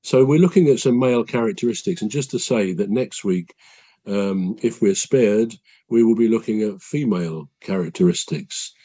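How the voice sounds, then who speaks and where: Male podcast co-host, low husky mellow voice, rambling and wry, faint music behind. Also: normal speed. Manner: rambling, self-correcting, gently humorous. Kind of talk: casual chat.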